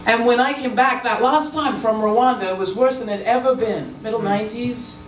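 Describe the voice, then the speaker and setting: A woman's voice: a little high, slight background noise. Earnest woman speaking in an echoey hall. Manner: earnest, reflective, slightly halting. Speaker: guest speaker, journalist. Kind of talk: reflective speech on stage. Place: large theater stage. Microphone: handheld mic. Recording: echoey hall, distant mic, soft music.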